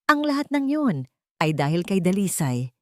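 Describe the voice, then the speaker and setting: A woman with a Filipino accent narrating a romance drama in an emotive, expressive storytelling manner. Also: medium pitch, moderate pace, no echo, clean studio sound.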